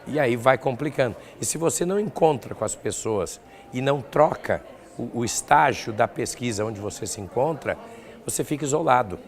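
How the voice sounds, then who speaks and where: An older man with a southern Brazilian accent speaks at a middle pitch as an academic being interviewed. He explains his points step by step, reaching for concrete examples. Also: expressive, moderate pace, vocal fry. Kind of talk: spoken interview answers.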